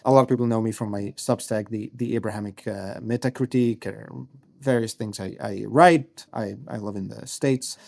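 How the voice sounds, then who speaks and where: A man's voice: fairly low pitch, fairly even intonation, moderate pace, creaky, a little mellow. A man in his thirties from Egypt, a guest writer giving long, reflective interview answers with frequent restarts and fillers. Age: 30s. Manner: thoughtful, many restarts and fillers.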